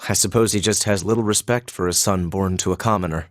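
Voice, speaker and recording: An actor playing a reserved, cynical young nobleman in a video game, speaking formally and with dry, measured phrasing. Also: low voice, steady tone, no room sound, natural voice. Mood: guarded, bitter. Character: reserved, cynical young illegitimate son of a duke. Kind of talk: English-language game voice acting.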